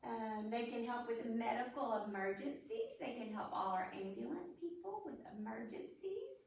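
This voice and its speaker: A woman's voice: a little high, lively intonation, normal speed, vocal fry, darkish tone, slight background noise. A woman hosting a storytime for young children, explaining things in simple, demonstrative phrases as she talks and reads aloud. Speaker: library storytime host. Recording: echoey room, distant microphone.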